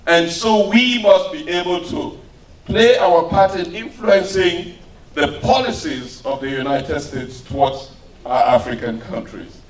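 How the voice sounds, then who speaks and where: A man from Cameroon giving an earnest, emphatic public speech at an event. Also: a little high. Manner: earnest, emphatic, slow rising phrases. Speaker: event speaker. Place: event hall. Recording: echoey hall, distant mic, faint noise.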